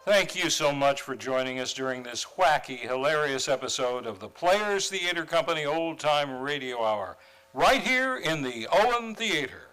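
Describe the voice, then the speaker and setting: A man's voice: on the high side, sharp tone, low-quality recording. A man announcing in old-time radio style, reading scripted credits and sign-offs. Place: theater stage.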